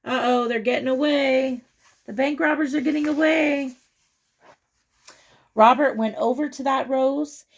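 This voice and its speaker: A woman reading a picture book aloud to children, with chatty, expressive asides and questions to the young listener. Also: high-pitched, unhurried, creaky, pauses often.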